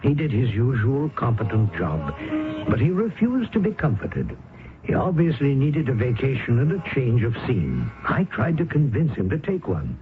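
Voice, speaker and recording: An actor playing an older English colleague who narrates the story in a measured, soothing way. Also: male voice, medium pitch, very animated, lightly acted. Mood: calm, somber. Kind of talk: storytelling narration in radio drama. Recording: old radio broadcast, phone-like band with music.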